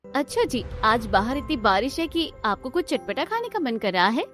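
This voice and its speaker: A woman's voice: on the high side, very animated, normal speed, fairly bright tone, soft background music. A woman voicing a cheerful, resourceful young daughter-in-law in a cartoon family drama. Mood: cheerful, obliging.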